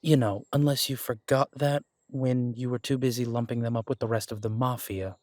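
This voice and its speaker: A man playing a steady, protective detective who speaks softly and reassuringly, trying to keep the peace. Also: mid-range voice, moderate pace, dry recording, clean studio sound, lightly acted. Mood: placating. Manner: soft and reassuring, pleading, peacemaking. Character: steady, protective male detective, tender with his partner. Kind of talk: audio roleplay drama acting.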